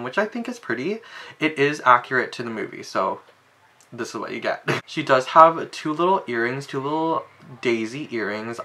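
A young man casually reviewing a collector doll in his bedroom, chatty and full of fillers, with sudden excited jumps in pitch. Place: bedroom, indoors. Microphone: webcam framing at desk.

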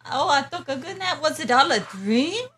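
A woman's voice: high voice, expressive, moderate pace, fairly bright tone, a little hiss or hum. A woman dubbing a dazed, scatterbrained young female fighter in a comedy game fan dub, blurting silly non sequiturs. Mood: dazed, puzzled.

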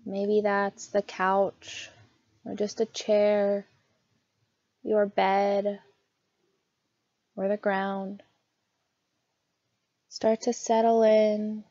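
Woman, meditation guide, slow pace, soft mellow tone, gentle and soothing, scripted delivery, dry slightly muffled recording. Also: mid-range voice, completely flat delivery, no echo. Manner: soothing, gentle, unhurried instruction.

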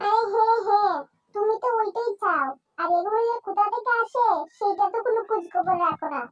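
Cartoon village wife, acted by a woman: extremely high voice, indignant, scolding, overworked, recorded at a distance. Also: a little fast, lo-fi sound. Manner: nagging, indignant. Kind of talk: cartoon voice acting.

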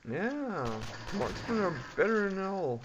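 A man's voice: high-pitched, big pitch swings, takes it slowly. A man giving casual gameplay commentary, reacting aloud and muttering to himself as he plays. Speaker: gamer. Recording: muffled lo-fi mic, background noise.

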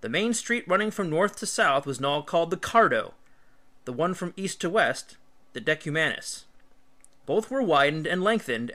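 A man's voice: a little high, sharp tone. A man reading an educational history book aloud like an audiobook, as a teacher would, with emphatic, careful phrasing.

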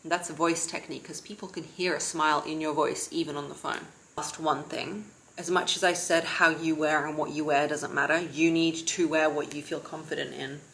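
A woman in her thirties giving casual advice to camera from her living room sofa, in a calm, steady, self-described monotone. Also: low voice, a little fast, few pauses, slight background noise, rough recording. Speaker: vlogger and confidence coach.

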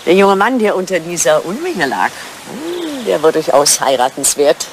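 An older woman playing a sharp-tongued, teasing English widow of high standing in a German radio play, with a dry, probing manner. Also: sing-song, normal speed, gravelly, a little hiss or hum.

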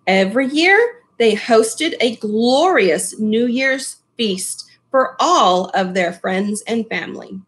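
A middle-aged woman reading a picture book aloud expressively, in an unhurried way, with casual asides to young listeners. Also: fairly high pitch, lively intonation. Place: small furnished room at home, indoors. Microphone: webcam at desk distance.

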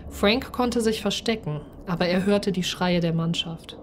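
Expressive woman narrating over noisy background. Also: on the low side, measured pace. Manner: expressive, acts out the character's speech. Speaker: narrator and player of a solo role-playing game. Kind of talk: first-person dramatised story narration.

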